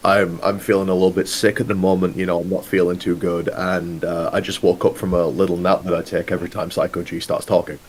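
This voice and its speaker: A man with a deep, raspy voice who sounds hoarse and unwell. He talks cocky and jokey, taking shots at his rivals. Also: flat delivery, quick pace, hardly any pauses. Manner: cocky, jokey trash talk. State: hoarse and sick. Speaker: tag team champion.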